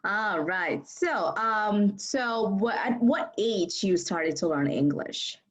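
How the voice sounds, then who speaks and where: A woman's voice: mid-range voice, animated, slow. A young woman interviewing in a friendly, encouraging way, with many casual fillers.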